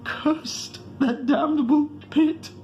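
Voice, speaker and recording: A man voicing a proud, wild mystic in a grandiose, shrill horror-story declamation, shrieking out incantations. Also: fairly even intonation, slow pace, darkish tone, full character voice. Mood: terrified shrieking. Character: proud shrieking mystic climber, exultant turning to terrified. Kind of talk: theatrical horror storybook reading. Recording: music behind the voice.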